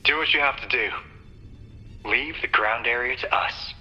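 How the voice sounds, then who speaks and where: A man's voice: medium pitch, moderate pace, pushed and bright, dull audio, soft background music. A man playing a steadfast field consultant in a game dub, heard through a radio comms filter. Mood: steady. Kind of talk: English game dub acting.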